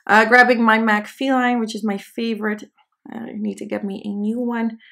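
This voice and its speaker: A woman's voice: on the high side, flat delivery, moderate pace, fairly bright tone. A young woman in her twenties whose first language is Dutch, chatting casually and self-deprecatingly while doing her makeup on camera in a small indoor studio. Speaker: beauty vlogger. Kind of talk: casual makeup tutorial chat.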